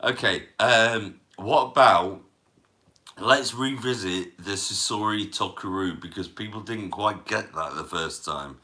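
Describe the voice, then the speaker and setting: Slow, monotone man. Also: on the low side. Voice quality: monotone, mumbling fillers. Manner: rolling a cigarette while talking. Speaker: livestream host. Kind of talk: casual live-stream chat.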